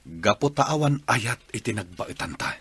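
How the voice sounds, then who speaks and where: A man's voice: mid-range voice, animated, measured pace, fairly bright tone, breathy edge, dry recording, full character voice. A man voicing a husband who is tired of his marriage and speaks curtly. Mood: weary. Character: weary husband, cold and done with his marriage. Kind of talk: radio drama acting.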